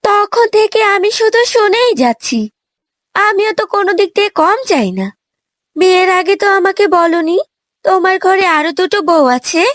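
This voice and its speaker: Lady playing a bold new bride in a cartoon, super high voice, dramatic and complaining, dry sound. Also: normal speed, fairly bright tone, dry recording. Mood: indignant. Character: indignant young new bride, bold and demanding. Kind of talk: cartoon fairy-tale voice acting.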